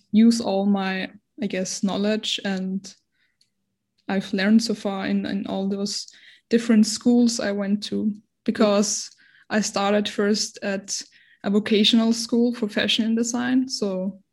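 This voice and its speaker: A woman's voice: mid-range voice, darkish tone. A woman in her twenties from Austria, speaking English as a non-native language as an interview guest, hesitant and searching for words. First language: not English. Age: 20s. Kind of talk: casual interview conversation.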